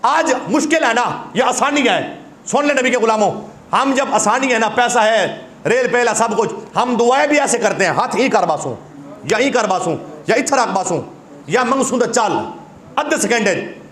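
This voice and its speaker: A man's voice: very high-pitched, fairly bright tone. A male preacher with a sore throat, giving an impassioned sermon that breaks into recited verse. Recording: echoey hall.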